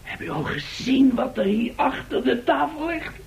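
An actor playing a placid, cheeky, hungry companion in a radio drama, drily unconcerned by danger. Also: male voice, very high-pitched, lively intonation, normal speed, creaky, heavily acted. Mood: teasing. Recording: dull, faintly noisy old radio recording.

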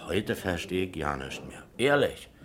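A blunt male pub landlord in a radio crime play. His voice is deep and swings widely as he gossips wryly in everyday colloquial speech, leaning hard on his points. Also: sing-song, a little slow, a bit bright, full character voice. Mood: emphatic, unsure. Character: chatty, blunt pub landlord of twenty years.

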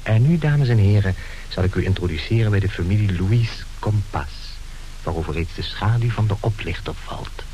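Man acting a wry, low-voiced radio play narrator. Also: normal speed, mellow, highs cut off, soft background music, close to an everyday voice. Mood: wry, mock-solemn. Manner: mock-solemn, addressing listeners formally. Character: wry male narrator warning of a rogues' comedy. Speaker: announcer introducing a radio play. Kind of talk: theatrical scripted radio narration. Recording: hissy old radio drama recording.

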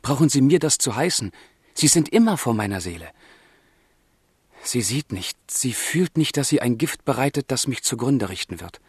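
A man's voice: medium pitch, big pitch swings, moderate pace, breathy edge, no echo, clean studio sound. An actor playing a passionate, tormented young man in a literary radio drama, speaking in formal, intense language. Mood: anguished, passionate. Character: passionate, tormented young man of feeling, letter-writing lover. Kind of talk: German literary radio drama, monologue and dialogue.

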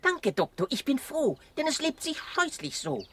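Small skinny monkey, high and animated, timid and grateful, children's radio drama. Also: female voice, moderate pace, a little hiss or hum. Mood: relieved. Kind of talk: children's radio play acting.

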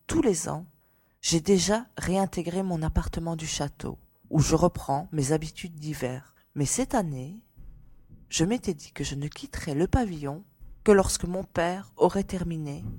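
A woman playing a frightened but composed young lady giving testimony in a dramatised audiobook, with a breathy voice. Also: deep voice, darkish tone, exaggerated voice.

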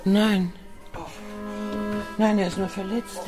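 A woman playing a composed, wistful lady of the house in a German radio drama, speaking slowly and deliberately. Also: medium pitch, level tone, music in the background, natural voice. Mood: tense, urgent.

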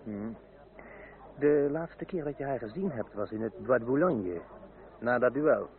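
An actor playing a talkative, politically minded French gentleman in a radio play, cultivated and gossipy. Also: medium pitch, animated, a little slow. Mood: gossipy, teasing. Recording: tinny, band-limited old radio recording.

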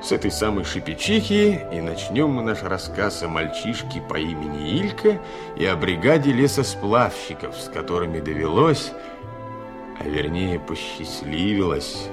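A man narrating a scripted radio drama as a reflective, unhurried storyteller. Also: sits low, big pitch swings, music in the background.

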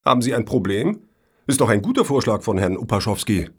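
An actor playing a self-assured, unruffled foundation official in a satirical radio play, dry and needling in manner. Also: male voice, on the low side, sing-song, a little fast, husky. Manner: dry, matter-of-fact, needling.

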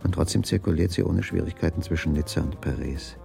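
A man's voice: sits low, a little fast, creaky, music in the background. An actor playing a bewildered, brooding husband in a radio crime drama, questioning and pondering his wife's secret. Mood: brooding, realising. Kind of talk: radio crime drama dialogue and inner monologue.

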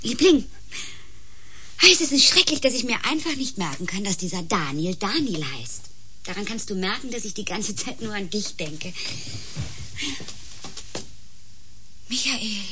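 Woman acting sensible housewife on the phone in radio drama, uneasy, hesitant, mid pitch, bit of noise. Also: big pitch swings, normal speed, close to an everyday voice. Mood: tender. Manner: hesitant, repeating words while reasoning. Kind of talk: German radio play acting.

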